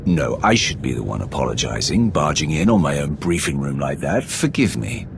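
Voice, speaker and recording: A man's voice: extremely low pitch, sing-song, moderate pace, creaky, background noise, heavily acted. An actor playing a blunt, commanding male Sith leader in a game cutscene. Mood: stern. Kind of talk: video game cutscene acting. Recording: music score behind.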